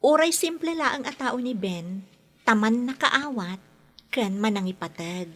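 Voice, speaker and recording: An actress playing a gentle, polite young schoolteacher with a Filipino accent in a radio drama. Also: female voice, fairly high pitch, expressive, unhurried. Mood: fond.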